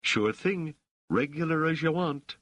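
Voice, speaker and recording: A man's voice: fairly low pitch, sing-song, a bit bright, dry recording, voice pushed up, exaggerated voice. A man voicing a self-assured middle-aged woman ruler, gracious and commanding, in an audiobook story. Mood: playful, slangy. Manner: poised, formal, measured phrasing.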